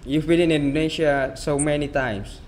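A male interviewer on a headset asking hesitant questions over a video call, with halting restarts. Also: fairly high pitch, steady tone, a little mellow, slight background noise. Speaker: interviewer, host.

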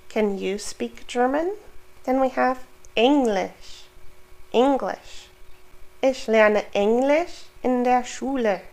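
A woman in her thirties teaching a vocabulary lesson. She says each word and example sentence slowly, then gives the English, into a studio microphone in a small room. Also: on the high side, vocal fry. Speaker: German language teacher. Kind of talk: slow, careful vocabulary lesson. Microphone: studio microphone on boom arm.

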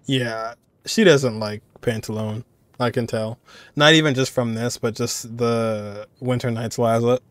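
Male, 20s, mid-pitched, informal reaction commentator thinking aloud, close dry recording. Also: steady tone, a little slow, fairly bright tone, no echo. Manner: informal, musing aloud, repeats phrases. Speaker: reaction video creator. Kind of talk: casual game reaction commentary.

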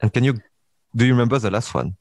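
A man's voice: medium pitch, expressive, quick pace, a little mellow, no room sound. A man with a Vietnamese accent hosting a podcast interview, speaking in a hesitant way full of fillers and restarts. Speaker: podcast host. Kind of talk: casual interview chat.

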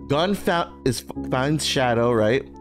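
A man's voice: mid-range voice, expressive, slow, music in the background. A young man in casual, slangy movie reaction chat, jovial and swearing freely, close on a podcast mic. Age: young adult. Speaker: reaction show host.

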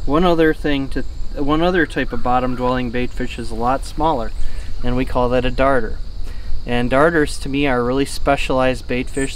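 A middle-aged man, a fishing guide explaining flies calmly to camera by a river. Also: mid-range voice, a little hiss or hum, rough recording. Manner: calm, plain explanatory delivery with fillers. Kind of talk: outdoor instructional talk to camera. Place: outdoors by a river. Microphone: camera at close range.

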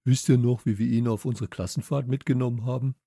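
Casting: loud slangy lad for children's story, husky, teasing male reader performing. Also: medium pitch, fairly even intonation, quick pace, dry recording, clean studio sound. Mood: excited, loud. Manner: blunt, exclamatory, slangy. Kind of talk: children's audiobook character voice.

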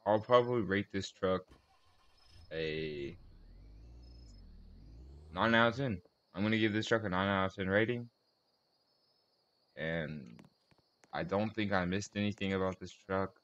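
A man with a low voice hosts a casual showcase video. He talks in short, halting phrases and corrects himself as he goes. The sound is dull and lo-fi, as from a basic computer microphone. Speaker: host of a car collection video channel. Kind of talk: casual video game car tour commentary.

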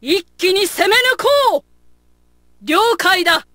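A woman's voice: extremely high pitch, slow, sharp tone, dry recording, clean studio sound, full character voice. A woman voicing a proud, gallant noble warrior in a video game, with formal, stately martial delivery. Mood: proud, commanding. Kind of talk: video game battle voice lines.

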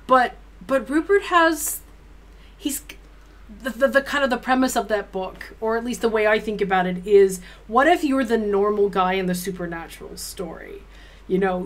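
A middle-aged woman interviewing casually as a co-host, talking in an animated, effusive way. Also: mid-range voice. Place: small room at home, indoors.

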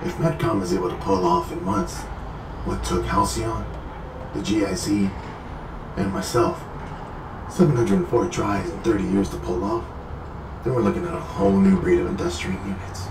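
An actor playing an authoritative older scientist, measured, precise and explanatory, in a science fiction audio drama. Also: male voice, low-pitched, steady tone, lightly acted. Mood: calculating. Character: authoritative, calculating older male scientist doctor. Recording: echoey room, clear background noise.

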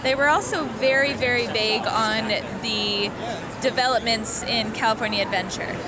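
A woman's voice: fairly high pitch, moderate pace. A woman chatting casually as a podcast co-host. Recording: loud crowd din and background noise.

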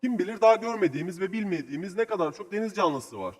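A man's voice: high voice, animated, very fast. A man voicing a curious, enthusiastic young male co-host in an educational children's cartoon. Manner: inquisitive, eager, addressing children. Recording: voice with long reverberation.

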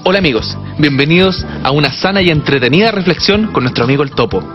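An actor playing a chirpy male host of a sketch-comedy talk show, speaking in a bright, upbeat presenter tone. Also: on the high side, animated, fast talker, dull audio, over music, close to an everyday voice. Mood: cheery. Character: chirpy kids-show host, then a nonsensical boss.

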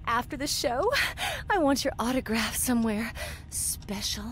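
A woman's voice: a little high, measured pace, noisy background, lightly acted. An actress playing a flirtatious, adoring female fan in a video game. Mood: flirty.

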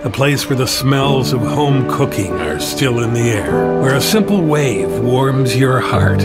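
A man narrates a sentimental scripted ad. His voice sits at a middle pitch, with loud music underneath. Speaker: commercial announcer.

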